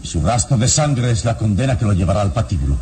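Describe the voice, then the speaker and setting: An actor in a radio drama playing a solemn, relentless and eloquent heroic investigator who questions in formal, theatrical diction. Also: male voice, fairly low pitch, very animated, quick pace. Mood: forceful, menacing. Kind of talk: classic Latin American radio drama acting.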